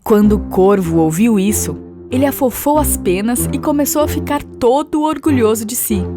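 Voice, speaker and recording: A woman's voice: on the high side, expressive, normal speed. A woman with a Brazilian accent reading a scripted children's fable as a storyteller. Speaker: narrator. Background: instrumental music.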